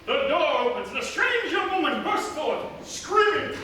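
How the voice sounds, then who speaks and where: A man's voice: extremely high pitch, very animated, moderate pace, bright, forceful tone, heavily acted. A man playing a wry, melodramatic narrator in a live radio comedy, breaking into offhand asides. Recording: echoey live theatre, distant mic, noisy.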